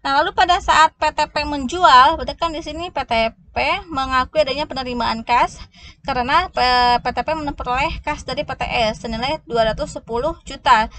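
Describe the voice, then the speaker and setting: A woman giving a step-by-step explanatory lesson as a lecturer, in a halting way, often restarting words. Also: sits high, sharp tone. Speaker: accounting lecturer. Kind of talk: explanatory lesson walking through worked examples. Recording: noticeable background noise.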